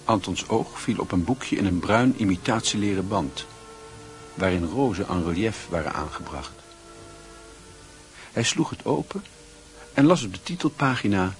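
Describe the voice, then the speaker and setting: A man narrating a literary radio play in a scripted storytelling style. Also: fairly low pitch.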